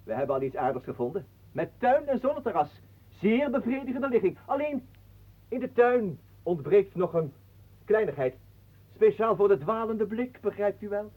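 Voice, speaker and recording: An actor playing a pompous, obsequious, scheming artist in a radio play, speaking in a mannered, formal way. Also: male voice, sits high, big pitch swings, normal speed. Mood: unctuous, formal. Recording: muffled, narrow-band old radio recording.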